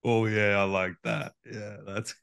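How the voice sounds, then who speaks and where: A man's voice: on the low side, little pitch movement, a little slow, a bit bright, clear, resonant tone. A man hosting a casual interview, enthusiastic as he reacts and asks questions. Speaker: interview host.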